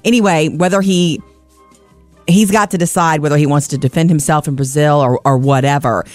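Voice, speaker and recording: A woman's voice: deep voice, steady tone, fairly quick, a little mellow, dry recording. Chatty, gushing woman radio host, dry close sound, music bed under her. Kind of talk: morning radio chat and entertainment news.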